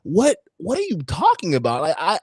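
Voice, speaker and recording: A podcast host with a Nigerian accent, talking casually and agreeably, stammering and repeating words. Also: male voice, high voice, wildly swooping pitch, moderate pace, darkish tone, husky, no room sound, studio quality.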